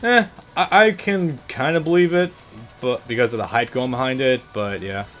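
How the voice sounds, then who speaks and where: A man's voice: mid-range voice, normal speed, a bit bright. Informal male gaming co-host over music. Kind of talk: casual let's play banter. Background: video game music.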